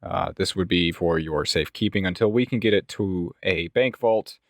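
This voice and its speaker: A man playing a businesslike investigator in a tabletop game, speaking in a formal, measured, wordy way. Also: deep voice, creaky, dry recording, studio quality. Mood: grave, formal. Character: businesslike male investigator posing as a law firm's representative.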